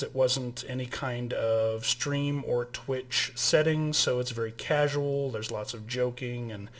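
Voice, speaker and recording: A man who ran the game, casually explaining a tabletop game's rules and holding up items as he talks, with some restarts along the way. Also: medium pitch. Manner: relaxed, with fillers and restarts, showing items to camera.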